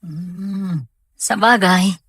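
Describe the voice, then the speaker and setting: A questioning accomplice played by a man in an audio drama. His voice is a little high for a man and somewhat husky, recorded dry and close. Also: very slow, fairly bright tone, dry recording, heavily acted. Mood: doubtful. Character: questioning male partner in crime. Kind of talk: acted dialogue in an audio drama.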